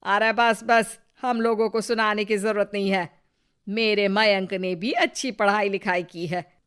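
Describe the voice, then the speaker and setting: A woman's voice: mid-range voice, fairly even intonation, unhurried, a bit bright, clean tone, natural voice. A woman voicing a scornful, suspicious older mother-in-law in a cartoon story, with a taunting, scolding manner. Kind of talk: cartoon voice acting.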